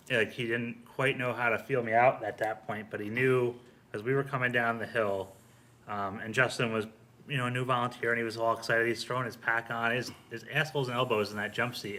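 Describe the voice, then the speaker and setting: A man with a bright, tense edge to his mid-pitched voice tells stories in a casual setting. His talk is colloquial, salty firefighter shop talk. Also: little pitch movement, brisk. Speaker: firefighter on a podcast. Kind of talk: casual storytelling.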